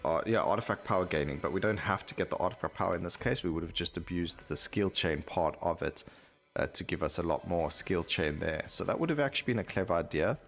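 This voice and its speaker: A man's voice: deep voice, expressive, fast talker, gravelly, a bit bright. A man giving casual gameplay commentary, thinking aloud and rambling as he plays, with game music behind him. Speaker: gaming video host.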